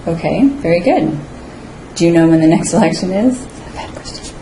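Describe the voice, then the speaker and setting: A woman acting as an interview officer, asking brisk, clear questions and giving short approvals. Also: lowish voice, expressive, mellow, a little hiss or hum. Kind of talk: scripted mock interview questioning. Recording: roomy echo with faint ambience.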